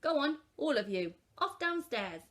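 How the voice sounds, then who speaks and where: A woman's voice: high voice, sing-song, a little slow, fairly bright tone, voice pushed up, exaggerated voice. A woman voicing a weary, firm elephant mother in a storybook, exasperated and emphatic.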